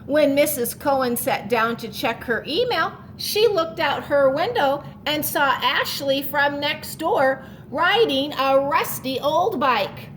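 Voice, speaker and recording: A woman's voice: high voice, expressive, takes it slowly, hardly any pauses, a bit bright, a little hiss or hum, low-quality recording. A woman reading a picture book aloud to children like a teacher, expressively stretching key words and adding explanatory asides for young listeners.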